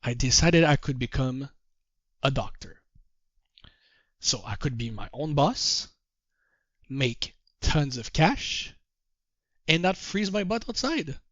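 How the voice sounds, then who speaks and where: French Canadian man, native French speaker, mid pitch, halting English, storytelling with refrains; dry, slightly dull audio. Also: animated, fairly bright tone, no room sound. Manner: self-deprecating, halting English. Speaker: trading course presenter. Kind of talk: presentation to a group call.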